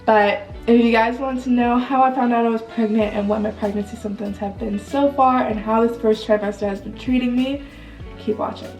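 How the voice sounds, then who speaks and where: A woman's voice: a little high, fairly even intonation, a little fast, darkish tone, reverberant, recorded from a distance, soft background music, low-quality recording. A young woman vlogger with a stuffed-up nose, chatting candidly and casually with lots of filler words. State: congested. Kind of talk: casual vlog chat.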